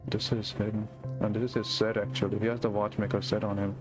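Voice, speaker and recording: A man with a fairly low voice streams a story game. He comments casually or reads the text aloud, with game music clearly audible behind him. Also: little pitch movement, brisk. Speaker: gaming streamer.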